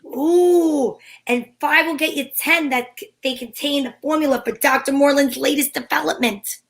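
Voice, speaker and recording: Female gumshoe actress, high voice, cheeky and wisecracking, slangy noir delivery for vintage-style radio detective drama. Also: normal speed, a bit bright. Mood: explaining, slangy. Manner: wry, slangy hardboiled delivery. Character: wisecracking female private eye, cheeky and flirtatious, narrating her case.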